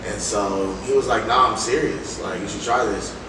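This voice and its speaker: Young guy rapper chatting in an interview, kinda deep voice, slangy storytelling, super echoey and far from the mic. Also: moderate pace. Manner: animated slangy talk, acting out quoted dialogue. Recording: very echoey, distant, loud background noise.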